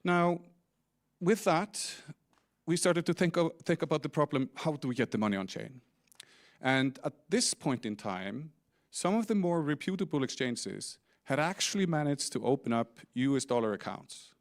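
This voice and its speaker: A man's voice: fairly high pitch, animated, normal speed. A middle-aged man with an Icelandic accent gives a conference presentation as a company founder, explaining things with light humor and small restarts. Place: large indoor conference stage.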